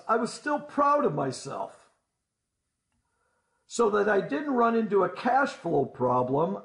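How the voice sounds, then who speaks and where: A man telling a scripted first-person story as a storyteller, deliberately, with folksy rhetorical asides. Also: sits high, creaky. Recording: roomy, echoing voice-over.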